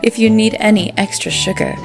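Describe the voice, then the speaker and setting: An actress playing a sugary, catty, flirtatious cabaret diva with a coy purr in a 1920s radio play. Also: female voice, mid-range voice, gravelly, music in the background, natural voice. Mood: sultry, flirtatious. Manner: coy, purring, drawn-out flirting.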